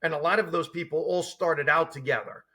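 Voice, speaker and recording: Guest spot: fifties man, Jersey-raised, Sicilian-Italian home tongue, wry deliberate storyteller, slightly high voice. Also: fairly even intonation, bright, forceful tone. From New Jersey, US. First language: Italian and Sicilian dialect. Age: 50s. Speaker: podcast guest, comedian. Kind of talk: casual conversational storytelling.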